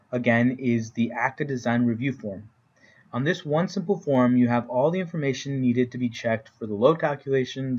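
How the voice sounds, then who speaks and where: A man's voice: medium pitch, darkish tone, highs cut off. An American man giving an explanatory slide presentation as an instructor, in plain, informal phrasing. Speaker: instructor presenting training for code officials. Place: voice-over recorded for a slide presentation.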